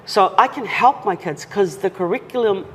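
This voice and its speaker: A woman's voice: mid-range voice, lively intonation, normal speed, a bit bright. Earnest woman in a reverberant room. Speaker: guest. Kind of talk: casual interview talk. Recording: long reverb, faint noise.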